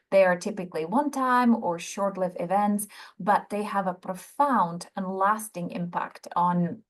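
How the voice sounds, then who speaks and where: A middle-aged woman with a Czech accent, a psychologist giving a prepared webinar lecture in a measured, explanatory way, often starting with "so" and pausing on "um". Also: medium pitch. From Czech Republic.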